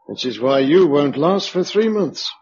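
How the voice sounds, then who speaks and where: A blunt, lively survivor. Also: male voice, fairly high pitch, measured pace, muffled recording, a little hiss or hum. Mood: blunt, challenging. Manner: blunt, chatty, colloquial. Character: blunt, cheeky, streetwise English man, a survivor. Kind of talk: radio drama acting.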